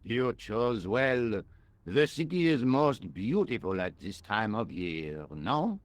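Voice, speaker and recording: A man playing a pompous, self-important French police detective, speaking deliberately with a French accent in a video game. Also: mid-range voice, sing-song, no echo. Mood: suave, formal. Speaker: police inspector.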